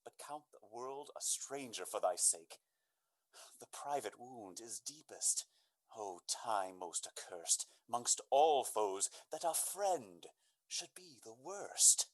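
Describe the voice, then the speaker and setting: An actor playing a noble, wounded young gentleman in a Shakespeare radio drama, declaiming his verse theatrically. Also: mid-range voice, animated, takes it slowly. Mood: bitterly grieved.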